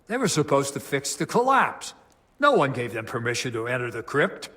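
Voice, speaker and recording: Devout medieval abbot, video game drama. Grave, formal, troubled. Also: male voice, medium pitch, sing-song, moderate pace, bright, forceful tone. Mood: indignant. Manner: solemn, pious, formal.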